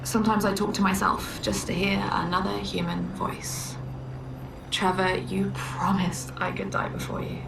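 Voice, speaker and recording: A woman's voice: mid-range voice, level tone, moderate pace, vocal fry, close to an everyday voice. A despairing woman diarist from a video game, played by an actress. She speaks in a subdued, resigned and bitter way, stubbornly carrying on. The voice is somewhat distant, with plain noise around it. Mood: resigned, grieving. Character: despairing woman bookkeeper recording a wartime journal, stubborn. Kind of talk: video game audio diary acting.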